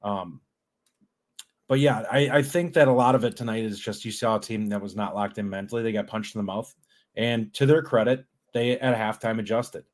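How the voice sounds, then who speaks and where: A man's voice: on the low side, moderate pace. A man co-hosting a sports podcast, giving earnest game analysis in a casual, restarting way. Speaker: podcast co-host.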